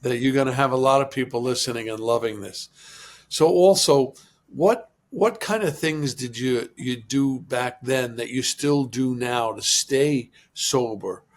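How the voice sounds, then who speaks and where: Guy hosting a podcast, keeps saying cool and right right, super encouraging. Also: mid-range voice. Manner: encouraging, quick affirmations. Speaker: podcast host. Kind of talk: interview.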